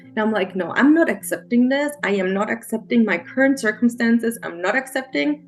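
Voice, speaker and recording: A middle-aged woman with a German accent, a mindset coach, telling her story as an interview guest in a halting, earnest way with many restarts. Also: a little high, lively intonation, faint music behind. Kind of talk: emotional personal storytelling interview.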